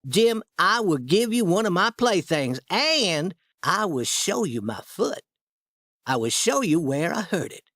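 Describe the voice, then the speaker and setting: A man voicing a clever, scheming young boy in a storybook reading, with a high, coaxing boyish voice. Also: sing-song, unhurried, fairly bright tone, dry recording, studio quality, exaggerated voice.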